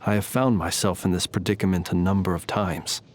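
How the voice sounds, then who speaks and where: Courtly, enigmatic knight, played by a man, eloquent and measured. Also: sits low, steady tone, close to an everyday voice. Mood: grave, cautioning. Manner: formal, eloquent, measured, old-fashioned gentlemanly speech. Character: courtly, humble, enigmatic male lamp-bearing knight of old. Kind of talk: scripted game character voice lines.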